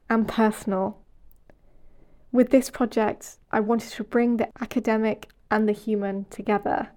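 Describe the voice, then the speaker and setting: Young woman reading her podcast outro, mellow voice, pretty flat delivery, earnest. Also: a little high. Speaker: podcast host and project researcher. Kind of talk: scripted reflective narration.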